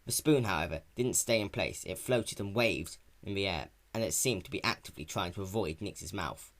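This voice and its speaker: A man's voice: sits low, normal speed, fairly bright tone. A man reading a story aloud as narrator, in a scripted, dramatic style.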